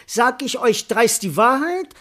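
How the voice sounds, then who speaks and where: An actor playing a cheeky, fearful and bewildered servant in a classical German radio play, with theatrical, elaborate declamation. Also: male voice, very high-pitched, animated, unhurried, fairly bright tone, full character voice. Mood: cheeky, deferential.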